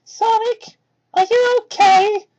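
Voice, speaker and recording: A tiny, high-voiced young boy fox, acted by a woman, caring and earnest in a story reading. The childlike voice sits well up in pitch. The recording is muffled and lo-fi. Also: fairly even intonation, slow pace, darkish tone. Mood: worried. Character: kind, worried four-year-old boy fox. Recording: muffled low-quality microphone.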